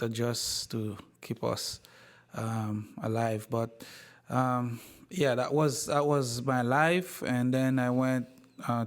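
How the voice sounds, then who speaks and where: Man, from Haiti, university president, interview guest, very slow, measured, mid pitch. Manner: slow, measured, with many pauses and repeated words. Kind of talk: interview, telling his life story.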